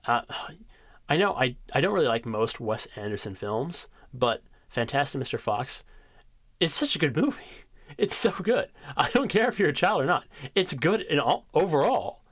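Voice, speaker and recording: A man's voice: on the high side, lively intonation, normal speed, fairly bright tone, no room sound, studio quality. A man in his twenties chatting casually about his favorite movies, enthusiastic, laughing often and swearing freely. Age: 20s. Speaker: ASMR creator.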